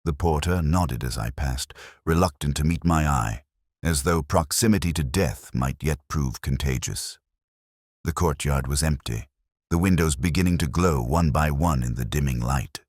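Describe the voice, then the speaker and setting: A man playing a weary, erudite police inspector, narrating his case in a measured, melancholy, sardonic way as a literary audio drama. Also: very deep voice, a little mellow, no echo, clean studio sound. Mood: brooding.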